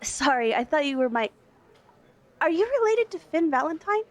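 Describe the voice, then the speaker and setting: An actress playing a friendly, eager young art student in an audio drama, chatty and welcoming. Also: female voice, high voice, big pitch swings, normal speed. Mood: friendly, curious. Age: young adult.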